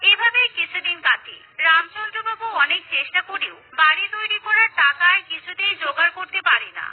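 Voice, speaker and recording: A woman narrates a children's cartoon story in a steady, even way. Her voice is very high. The recording is narrow and tinny, like a phone line. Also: a little fast, faint music behind.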